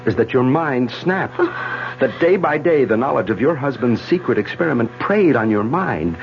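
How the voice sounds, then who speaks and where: A man's voice: medium pitch, sing-song, moderate pace, a little hiss or hum. An actor playing Philip, a man who coaxes and then solemnly persuades, in 1940s radio-drama style. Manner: urgent, coaxing, then solemn. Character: man named Philip, anxious and controlling. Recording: dull old radio broadcast with music.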